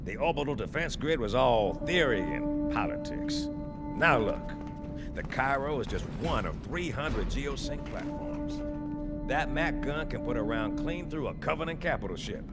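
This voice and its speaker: Gravelly, swaggering marine sergeant. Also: male voice, medium pitch, huge pitch swings, normal speed, loud music behind the voice. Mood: wry, reflective. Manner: swaggering, folksy banter. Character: cocky, wisecracking tough male marine sergeant. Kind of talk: video game cutscene acting.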